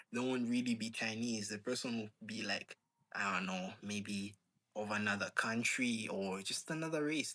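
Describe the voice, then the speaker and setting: A man's voice: mid-range voice, a bit bright, low-quality recording. A man talking in a casual, rambling monologue, posing rhetorical questions and leaning on fillers. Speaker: channel host.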